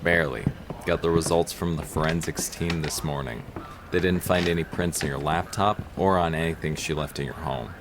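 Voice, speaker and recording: An actor playing a steady, determined police detective in an audio drama, confident and matter-of-fact. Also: male voice, very deep voice, normal speed, noisy background. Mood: reassuring.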